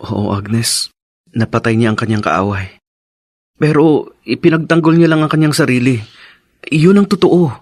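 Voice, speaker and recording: Lalaking gumaganap na Alfie, paos at tapat na asawa. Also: male voice, mid-range voice, moderate pace, long pauses, dry recording, studio quality, natural voice. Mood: earnest, explaining. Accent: Filipino. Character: Alfie, devoted, earnest young husband and son. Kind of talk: Philippine radio drama acting.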